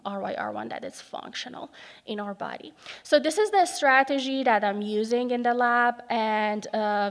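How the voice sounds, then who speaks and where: A woman presenting her gene therapy research at an expert panel, explaining slowly and carefully with frequent pauses and fillers. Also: on the high side. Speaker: research grant speaker. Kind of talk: scientific panel talk. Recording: reverberant conference hall.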